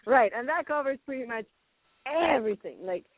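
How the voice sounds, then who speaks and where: A woman's voice: sits high, animated, normal speed, dry recording. A middle-aged woman raised in Venezuela and Canada, a guest actress chatting in an interview, rambling and laughing often. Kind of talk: casual interview chat. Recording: tinny phone line.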